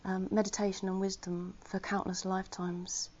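A woman of middle pitch gives an informal talk to her Buddhist community. She is chatty and earnest, with flashes of self-deprecating humour. The recording sounds slightly muffled. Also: level tone, normal speed, darkish tone, slight background noise. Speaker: Buddhist order member giving a talk. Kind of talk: informal Dharma talk to a gathering.

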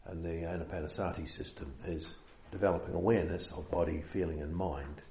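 A man with a New Zealand accent leading a meditation retreat, giving an unhurried dharma talk with many fillers. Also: deep voice, steady tone, warm tone, reverberant, a little hiss or hum.

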